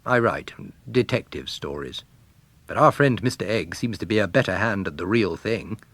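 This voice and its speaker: A man voicing a disagreeable, argumentative gentleman with a curt manner in a detective story reading. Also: lowish voice, animated, moderate pace, dry recording. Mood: agreeable. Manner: curt, contradicting.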